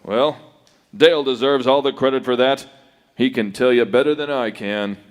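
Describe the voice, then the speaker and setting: An actor playing a heroic masked Western lawman, calm and commanding, giving steady instructions in live radio-drama style. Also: medium pitch, moderate pace, clean tone, natural voice.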